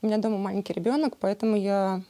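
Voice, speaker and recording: Thirties woman, warm tone, hesitant testimony. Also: medium pitch, steady tone, fast. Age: 30s. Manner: hesitant, many filler sounds. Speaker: retreat participant. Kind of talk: personal testimony.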